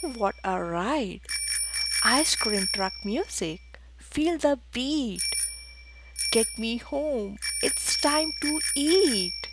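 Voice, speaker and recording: Very animated high-voiced woman reading to kids. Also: extremely slow, heavy background noise. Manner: theatrical, exclamatory, rhythmic delivery of rhyming lines. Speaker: children's book reader. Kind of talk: animated picture-book read-aloud for preschoolers. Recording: music behind the voice. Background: music.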